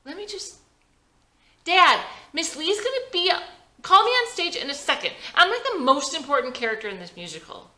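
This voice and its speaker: A woman's voice: very high voice, big pitch swings, moderate pace, sharp tone, voice pushed up, full character voice. A woman playing a nerdy ten-year-old boy in a script reading, whiny and insistent, anxious but cocky. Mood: whiny, urgent. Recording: roomy echo.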